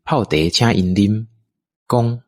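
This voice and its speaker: A man's voice: fairly low pitch, fairly even intonation, slow pace. A man with a Taiwanese accent, narrating a scripted radio drama story.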